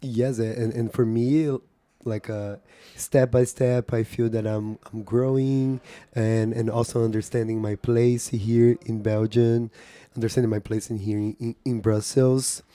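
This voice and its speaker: Brazilian guy on radio, mellow and dry, english a bit halting. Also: mid-range voice, little pitch movement, dry recording. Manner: halting non-native English, restarts. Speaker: guest DJ. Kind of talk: casual radio interview. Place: radio studio.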